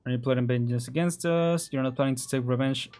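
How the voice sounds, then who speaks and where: A woman's voice: extremely low pitch, quick pace, mellow. A young woman doing casual live game commentary, with wry, sarcastic asides over game music. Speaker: game streamer.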